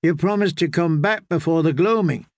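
Man voicing an anxious, higher-pitched earnest young man. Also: moderate pace, a little mellow, no room sound, studio quality, close to an everyday voice. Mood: earnest pleading. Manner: earnest, pleading, flustered.

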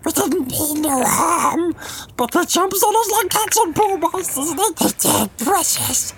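A man's voice: very high voice, expressive, unhurried, fairly bright tone, pitched above the performer's own voice, full character voice. A man voicing a small wretched creature for a storybook, whining, hissing and pleading in a slyly fawning, gobbling way. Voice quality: creaky, gobbling throat, whimpering. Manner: whining, hissy, repeats words, calls itself we.

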